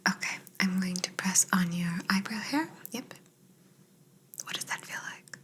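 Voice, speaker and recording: A woman whispering softly and breathily in an ASMR roleplay as a polite, curious, clinical alien examining a human. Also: medium pitch. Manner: slow, polite, clinical, murmuring short reassurances.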